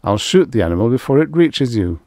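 A man's voice: mid-range voice, big pitch swings, brisk, a little mellow, dry recording, studio quality, pitched above the performer's own voice, natural voice. A man voicing an imperious big-game hunter in a science fiction story, commanding, amused and genially menacing. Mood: amused menace.

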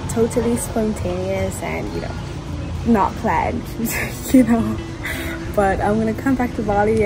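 A young woman in her twenties vlogging in a soft, thoughtful, rambling way, filming herself with a phone on a villa terrace. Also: mid-range voice, low-quality recording. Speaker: travel vlogger. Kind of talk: reflective casual vlog talk. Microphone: phone camera at arm's length. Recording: loud background music.

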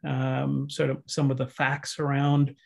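A man in his thirties from Colombia introduces himself on a video call. His voice is gravelly and keeps a flat, even pitch, and he speaks in a measured way, hesitating on fillers. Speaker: urologist, faculty member.

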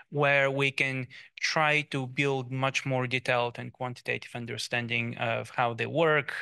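A man in his forties speaks on a conference panel through a handheld mic, in a very bright, smooth and crisp voice with a gravelly undertone. His delivery is measured and deliberate, and the sound is dry and close. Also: mid-range voice, dry recording. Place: conference stage, large hall.

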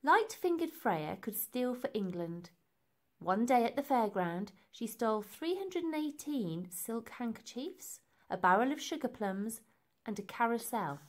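A woman reading a children's story aloud in an expressive, deliberate and emphatic way. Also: a little high. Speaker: storybook reader.

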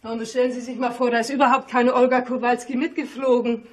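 A woman's voice: on the high side, level tone, fast talker, close to an everyday voice. A woman playing a nosy, indignant married neighbour who gossips insistently in a radio drama. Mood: gossipy. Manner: chatty, confiding, insistent gossip.

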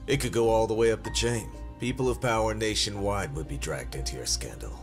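An actor playing a stern, middle-aged government power broker in a game cutscene, speaking with measured menace. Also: male voice, lowish voice, music in the background, lightly acted. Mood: menacing. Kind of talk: dubbed video game cutscene acting.

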